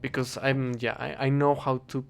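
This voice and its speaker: A young man with a Spanish accent, a native Spanish speaker, rambling in a casual, halting monologue while he plays a game and keeps correcting himself. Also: medium pitch, little pitch movement, vocal fry, soft background music. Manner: halting, self-correcting, thinking aloud. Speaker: vlogger.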